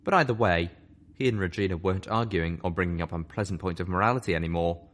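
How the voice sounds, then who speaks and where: Low-voiced man reading a story expressively. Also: fast, fairly bright tone. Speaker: story reader. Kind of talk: audiobook narration of fan fiction.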